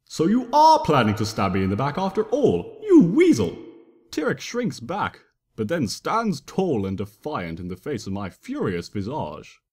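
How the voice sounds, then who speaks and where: A man's voice: medium pitch, wildly swooping pitch, a little slow, darkish tone. A man reading a story dramatically in the first person, in a playful, theatrical trickster manner. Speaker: fan fiction reader and narrator. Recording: reverberant room with long echo.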